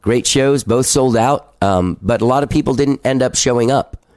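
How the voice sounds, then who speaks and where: A man's voice: medium pitch, normal speed, a little mellow, no room sound. A male podcast host with a stuffed-up nose, chatting casually and loosely, swearing now and then and laughing at himself.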